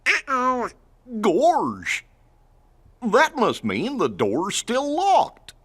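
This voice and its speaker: A man voicing a good-natured, goofy cartoon dog in a video game, with a husky drawl. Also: very high voice, wildly swooping pitch, very slow, a bit bright, exaggerated voice. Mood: puzzled.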